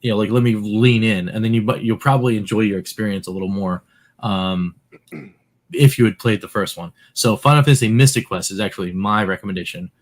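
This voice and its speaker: A man co-hosting a podcast, explaining at length in a relaxed, casual way with lots of filler words. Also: fairly low pitch, brisk. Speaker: podcast co-host. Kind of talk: casual chat.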